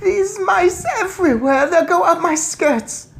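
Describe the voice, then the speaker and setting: A man voicing a shrill, hysterical middle-aged wife in a storybook reading. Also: expressive, measured pace, creaky, a bit bright, exaggerated voice. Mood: panicked screaming.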